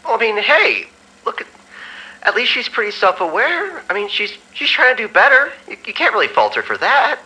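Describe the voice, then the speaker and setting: A man plays a timid young male intern in an audio drama. His voice is high for a man, bright and lively in pitch, stumbling over words in a deferential, placating way. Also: moderate pace, heavily acted, poor audio. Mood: nervous, placating. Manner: hesitant, stammering, placating. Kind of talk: amateur audio drama acting.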